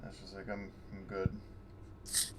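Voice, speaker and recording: A man's voice: low voice, monotone, a little slow. Rambling man at a game table. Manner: rambling, many fillers and restarts. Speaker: player. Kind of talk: casual tabletop game chat.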